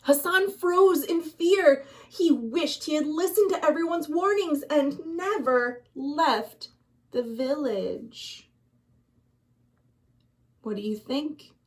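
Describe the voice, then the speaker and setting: Female children's storytime reader, American, high and lively, unhurried dramatic delivery. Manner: dramatic, deliberate emphasis. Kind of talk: expressive children's storybook narration with questions to listeners.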